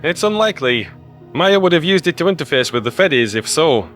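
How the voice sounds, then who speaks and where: A man's voice: on the high side, expressive, brisk, fairly bright tone, soft background music, pitched above the performer's own voice. A man voicing a scruffy, earnest human conspiracy theorist in audio story dialogue. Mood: speculative.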